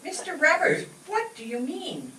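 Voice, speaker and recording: A woman's voice: high-pitched, wildly swooping pitch, a little slow, bright, forceful tone, exaggerated voice. A woman playing a long-suffering, practical wife and mother in an old-time radio comedy, exclamatory and scolding yet fond. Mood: exasperated. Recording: echoey, distant mic, noisy room.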